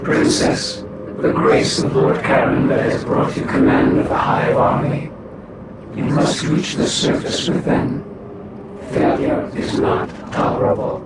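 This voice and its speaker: A man's voice: mid-range voice, measured pace, a loud crowd, lightly acted. A man playing a stern, merciless commander of a fallen horde in a fantasy game cutscene, blunt, harsh and imperious. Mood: stern, scornful. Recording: echoing, loud noisy ambience.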